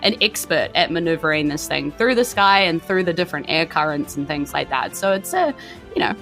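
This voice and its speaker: Bright-voiced woman running a tabletop game. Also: sits low, animated, fairly quick, faint music behind. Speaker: game master. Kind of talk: improvised tabletop game narration.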